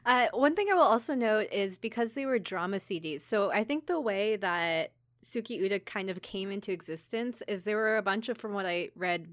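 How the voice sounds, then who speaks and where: A woman's voice: medium pitch, no room sound, clean studio sound. A woman co-hosting a podcast in casual chat, explaining things in a giggly way. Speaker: podcast co-host. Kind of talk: casual chat about idol anime.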